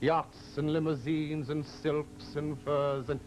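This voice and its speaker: An actor playing the Devil as a proud, courtly gentleman, mannered and over-polite, with deliberate pauses, in old-time radio horror style. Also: a little high, flat delivery, slight background noise. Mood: wounded pride. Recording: vintage radio drama, music behind.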